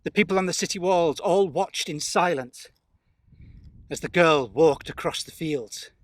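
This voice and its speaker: A man narrating a folktale as a storyteller, theatrical and emphatic, with suspenseful pauses. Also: a little high, no room sound.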